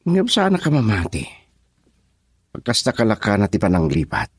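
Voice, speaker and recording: A man with an Ilocano accent playing a resigned young man in a radio drama, husky-voiced. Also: fairly low pitch, wildly swooping pitch, normal speed, no echo, clean studio sound, full character voice.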